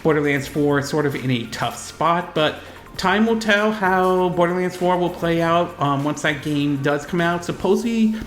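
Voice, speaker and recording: A man with a cold giving unscripted gaming news commentary as a video host, rambling and halting, often correcting himself. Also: fairly high pitch, a bit bright, roomy sound, faint music behind. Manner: rambling, halting, self-correcting delivery with repeated verbal tags. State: fighting off a cold.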